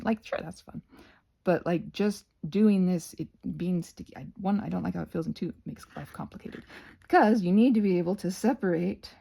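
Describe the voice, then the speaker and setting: A woman hosting a casual, rambling craft tutorial in a halting, chatty way with playful, self-deprecating asides. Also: medium pitch, gravelly, mellow, husky.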